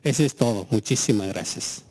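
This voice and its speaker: A man's voice: mid-range voice, fast talker, dark tone, a little hiss or hum, poor audio. A man whose first language is Mixteco, speaking as a community organizer giving public comment at a meeting.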